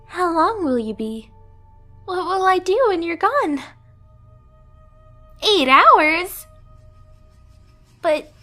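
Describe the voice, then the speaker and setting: A very high, girlish catgirl played by a woman speaks slowly in an anime ASMR roleplay. She is clingy, timid and devoted, with an anxious, possessive streak, and music plays clearly behind her. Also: bright, forceful tone, heavily acted. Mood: anxious, pleading. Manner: timid, hesitant, breathless pleading to the listener.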